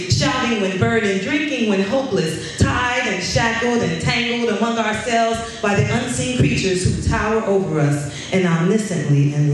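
A woman poet in her thirties reciting at a live reading in a measured, emphatic, incantatory cadence. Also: mid-range voice. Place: indoor gallery space with seated audience. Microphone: stand microphone, recorded from camera at a distance. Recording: echoey room, distant mic, background noise.

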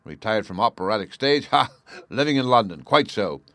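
A man's voice: on the low side, lively intonation, normal speed, a bit bright, no room sound, voice pushed up. A man performing astute detective, unruffled, incisive, dry wit, clipped formal speech, classic mystery tale. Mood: musing. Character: cool, astute gentleman detective, languid and incisive.

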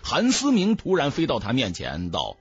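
A man narrating an audiobook in a dramatic, theatrical, expressive storyteller's manner. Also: medium pitch, normal speed, slightly rough, no room sound, muffled recording, faint music behind.

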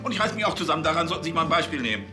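An actor playing an irritable, officious police chief in a TV crime comedy, scolding with exasperated questions. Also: male voice, fairly high pitch, very animated, very fast, over music.

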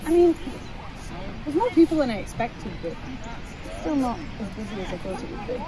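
An actress playing a teasing, blunt young British friend in a naturalistic audio drama, with an expressive, mocking way of talking. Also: female voice, a little high, moderate pace, noisy background. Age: young adult. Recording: outdoors, loud chatter and street ambience.

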